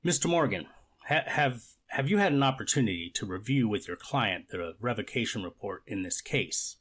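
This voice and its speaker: A man's voice: lowish voice, lively intonation, moderate pace, fairly bright tone. A man playing a formal, methodical court official in a scripted readers theater courtroom drama. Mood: procedural, authoritative. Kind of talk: amateur readers theater, scripted legal reading.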